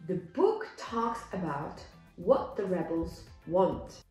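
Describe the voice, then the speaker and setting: A young woman teaching English, reading a simplified story and explaining it with deliberate, demonstrative enunciation for learners. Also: lowish voice, big pitch swings, darkish tone. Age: young adult. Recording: echoey room, music behind.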